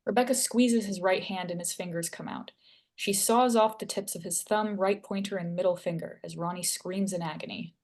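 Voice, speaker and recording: Casting: female narrator, medium pitch, scripted read of action lines at a table read. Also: steady tone, normal speed, a little mellow. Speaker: screenplay narrator. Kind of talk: reading stage directions at a table read.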